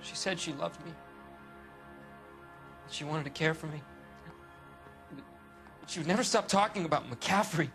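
Game role: young American male suspect, blue-collar, pleading and anxious, slightly high pitch. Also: measured pace, long pauses, music in the background. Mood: rueful, hesitant. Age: young adult. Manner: hesitant, pleading, defensive. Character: anxious, defensive young working-class male murder suspect. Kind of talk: dramatic video game acting.

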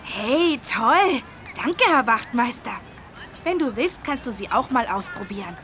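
Cartoon game role: polite, eager little boy, high-pitched. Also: expressive, unhurried, a bit bright, a little hiss or hum. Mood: excited, grateful. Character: eager, polite young boy, the elephant's friend. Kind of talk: German children's cartoon game acting.

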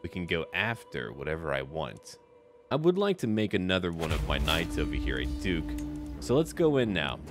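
A man's voice: low-pitched, wildly swooping pitch, normal speed, over music. A man giving let's play commentary on a strategy game, talking to himself and addressing his characters as their ruler. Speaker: video game player and narrator.

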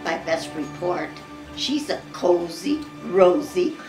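Elderly American woman reading slowly, lively pitch. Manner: measured, expressive storybook reading with occasional stumbles. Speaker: grandmother reading to family. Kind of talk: reading a Christmas poem aloud. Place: living room at home. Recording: roomy home recording with music behind.

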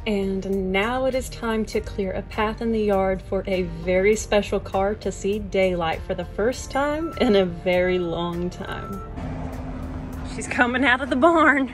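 A woman's voice: mid-range voice, a little slow, over music. A woman, gushing casually over old cars outdoors on a phone camera. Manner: excited, gushing. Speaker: vlogger. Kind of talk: casual vlog narration. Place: outdoor junkyard.

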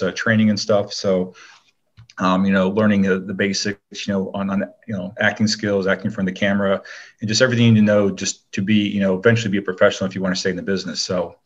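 A middle-aged man with a very low voice talks as a guest in a casual interview. He is modest and conversational. The recording is a little dull and lo-fi.

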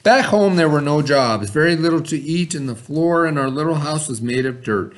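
A man's voice: fairly high pitch, lively intonation, moderate pace. A middle-aged American man teaching young pupils, reading aloud and explaining in an instructive way as an elementary school teacher. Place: indoor school classroom.

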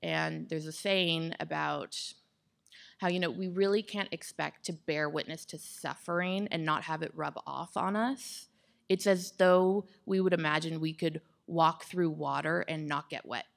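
A woman guest expert answers questions in an onstage interview. Her voice sits low and is very gravelly with fry. She speaks in measured, well-formed sentences. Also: fairly even intonation. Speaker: guest expert on climate psychology. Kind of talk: thoughtful interview answers on stage. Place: indoor stage with wood-slat backdrop. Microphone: microphone on boom stand.

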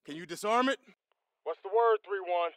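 A man's voice: sits high, moderate pace, no echo, lightly acted, low-quality recording. An actor playing a tough, confident American Marine squad leader in a video game, commanding his men in clipped, salty military jargon. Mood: low, wary. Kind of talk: video game combat dialogue.

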